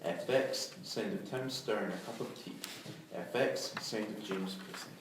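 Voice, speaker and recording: A man's voice: lowish voice, a little slow. A man reading out stage directions as clipped scripted narration for a drama. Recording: very echoey, distant mic, faint noise.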